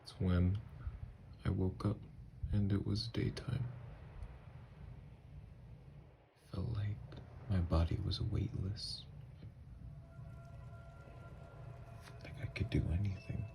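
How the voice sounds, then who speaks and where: A man plays a haunted young-blood vampire confessing in an intimate audio drama. His voice is very deep and gravelly with creaky fry. He speaks slowly and haltingly, often dropping toward a hushed breath, with anguish under every phrase. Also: flat delivery, in a whisper. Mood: awed. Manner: halting, hushed, breathy delivery with long pauses. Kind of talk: intimate ASMR audio roleplay monologue.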